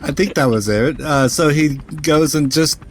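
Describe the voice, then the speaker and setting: A man's voice: fairly high pitch, normal speed. A man chatting casually as a player in a tabletop roleplaying game, asking questions and describing his moves. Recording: faint background noise.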